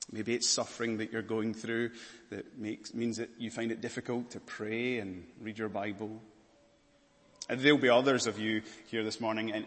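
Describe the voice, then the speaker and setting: A Scottish man preaching a sermon, earnest, moving between emphatic lines and hushed, slower ones. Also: medium pitch, big-room sound. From Scotland.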